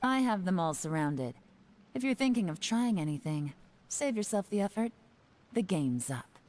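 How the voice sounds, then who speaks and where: An actress playing a cool, confident, teasing investigator in a video game, dry and assured in delivery. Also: female voice, lowish voice, sing-song, normal speed, a little mellow. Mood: cool, taunting.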